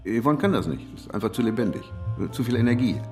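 A man's voice: medium pitch, expressive, quick pace, lightly acted. Actor playing a wistful, grumbling middle-aged man, music behind. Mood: musing. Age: 50s. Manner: hesitant, thinking aloud with repeated words. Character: ageing male editor, wistful, grumbling, fussily articulate. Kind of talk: naturalistic German radio drama dialogue.

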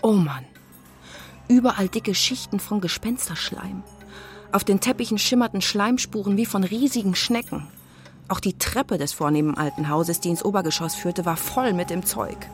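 A woman with a husky voice narrating a scripted children's radio play as storyteller. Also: mid-range voice, normal speed, soft background music.

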